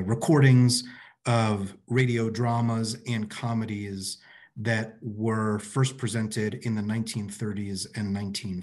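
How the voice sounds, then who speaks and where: Guy in his 40s presenting on a video call, mid-pitched voice, measured with pauses. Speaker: panelist, arts director. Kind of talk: prepared presentation. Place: office by a window, indoors. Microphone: video call computer microphone.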